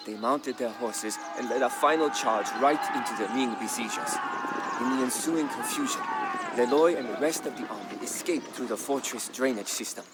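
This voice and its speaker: A man reading a scripted, dramatic historical narration in a measured, solemn storytelling manner. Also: mid-range voice. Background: background music.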